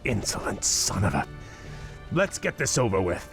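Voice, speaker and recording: A proud elven prince for a game, deep, cultured, bitterly wry. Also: male voice, wildly swooping pitch, moderate pace, vocal fry, fairly bright tone, faint music behind, full character voice. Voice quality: refined, dry and sardonic. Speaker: prince and field commander. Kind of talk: video game cutscene voice acting.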